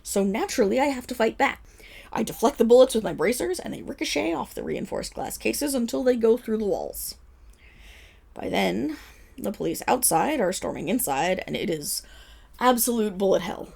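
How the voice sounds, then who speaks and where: A woman's voice: fairly high pitch, lively intonation, moderate pace, creaky, darkish tone, slightly rough, full character voice. A woman playing a flustered, boastful female superhero who rambles defensively through a dramatic public apology speech in an audio roleplay. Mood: excited. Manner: rambling, defensive, dramatic storytelling with nervous laughs. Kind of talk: scripted audio roleplay monologue as a press conference speech.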